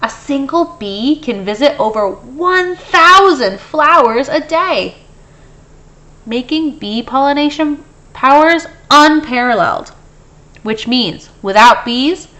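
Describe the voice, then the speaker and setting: Slow, high-voiced woman reading to children. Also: poor audio. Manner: expressive, explaining to young children. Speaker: storybook reader. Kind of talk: children's picture book read-aloud with brief explanatory asides.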